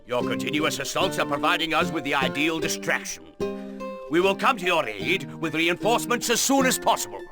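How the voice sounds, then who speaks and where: A man acting a steady noble knight and military ally in a video game, formal and earnest. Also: fairly high pitch, a little fast. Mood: earnest resolve. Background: guitar music.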